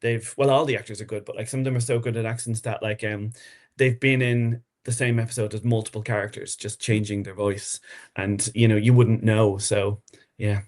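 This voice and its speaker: A man's voice: lowish voice, flat delivery, a little fast, darkish tone. A man talking casually as a podcast guest about his writing, enthusiastic and rambling, often restarting his sentences. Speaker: podcast guest, horror fiction writer. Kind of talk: casual interview chat.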